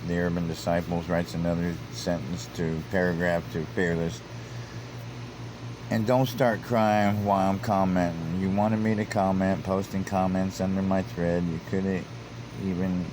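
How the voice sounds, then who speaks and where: Low-voiced man with noisy hum. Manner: repeats words and restarts, grows heated and emphatic. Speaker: commentator reading online comments. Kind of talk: casual commentary.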